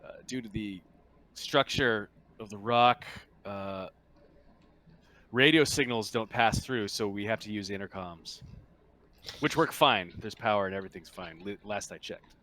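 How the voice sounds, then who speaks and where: A man's voice: fairly low pitch, measured pace, sharp tone. A man playing a cautious second-in-command of a mining colony in a tabletop sci-fi game. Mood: hesitant, cautionary.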